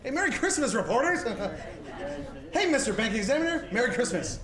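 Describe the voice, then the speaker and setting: An actor playing an excitable, stammering family man in the style of 1940s radio drama. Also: sits high, quick pace, crowd noise. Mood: giddy, overjoyed. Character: desperate, then joyously exuberant family man. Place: live performance in a church. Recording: roomy, noisy live stage recording.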